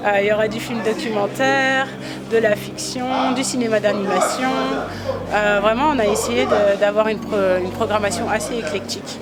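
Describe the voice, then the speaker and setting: A woman from the festival team answering an interview question, with pauses and filler sounds. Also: mid-range voice, steady tone, loud music behind the voice.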